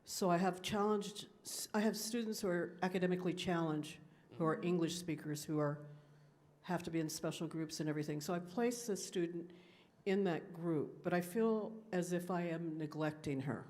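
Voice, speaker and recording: A woman teacher asking the panel a question, earnest and measured. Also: lowish voice, a little mellow.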